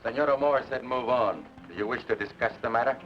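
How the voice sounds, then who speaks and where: A man's voice: medium pitch, fast, dull audio, soft background music, close to an everyday voice. A man playing a silky Mexican lieutenant. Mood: sly. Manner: formal, silky. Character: sly Mexican lieutenant, polite and watchful. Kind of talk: 1940s radio acting. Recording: noisy old radio broadcast.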